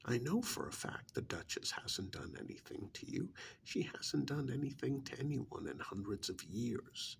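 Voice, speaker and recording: A man soft-speaking an ASMR role-play monologue in a breathy, gravelly half-whisper, with deliberate, pointed phrasing and pauses. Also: mid-range voice, expressive, a little hiss or hum.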